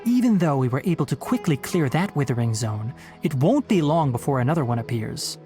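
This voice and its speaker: A man voicing a young, knowledgeable forest ranger in an anime-style video game, articulate and stern, explaining in a measured way. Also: fairly high pitch, very animated, warm tone, soft background music. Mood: grave, explanatory.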